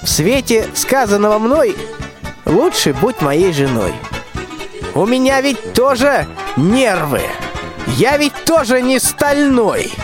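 A man's voice: extremely high pitch, sing-song, slow pace, pauses often, music in the background, full character voice. A male amateur actor playing a bossy, lovestruck tsar in a rhymed folk-tale radio play, declaiming pompously. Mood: coaxing, threatening. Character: blustering lovestruck old tsar, bossy and wheedling.

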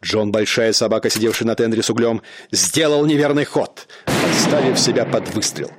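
A man narrating a radio play in a measured, dramatic storytelling manner. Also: on the low side, heavy background noise.

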